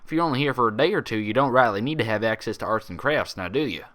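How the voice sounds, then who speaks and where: A man's voice: medium pitch, animated, fast talker, fairly bright tone, natural voice. A man voicing a frank, proud, friendly female pony doctor with a folksy way of talking, in a story reading.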